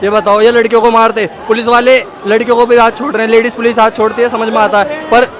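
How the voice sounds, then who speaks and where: A man's voice: very high voice, little pitch movement, quick pace. A young man at a protest, explaining earnestly and addressing the reporter as brother. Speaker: protesting student. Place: outdoor protest. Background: crowd.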